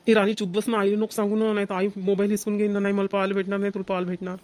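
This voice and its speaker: A man's voice: sits high, monotone, rapid-fire, a little mellow, close to an everyday voice. A man voicing a pleading young boy, an older brother in a cartoon comedy, with a Vidarbha Marathi accent. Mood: pleading, hushed.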